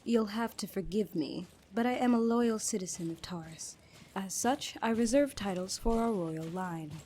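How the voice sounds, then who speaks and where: A woman's voice: mid-range voice, moderate pace, mellow, slightly rough, lightly acted. A woman playing a loyal, guarded royal knight in a fantasy audio drama, speaking formally and with composure. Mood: cool formal politeness. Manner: formal, composed, coolly defiant. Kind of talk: fantasy audio roleplay acting.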